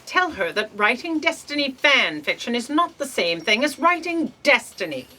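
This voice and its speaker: A woman acts out an imperious female boss in a comic audio drama. Her high, bright voice swings widely as she commands and needles her underlings with theatrical sarcasm. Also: very animated, moderate pace, full character voice. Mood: incredulous, scolding. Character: imperious exasperated female boss of a bureaucracy of fate.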